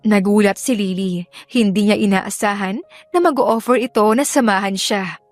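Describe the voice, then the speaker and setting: A woman's voice: mid-range voice, moderate pace, dry recording, faint music behind. A woman narrator reading scripted romantic fiction as dramatic storytelling. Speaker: audiobook narrator.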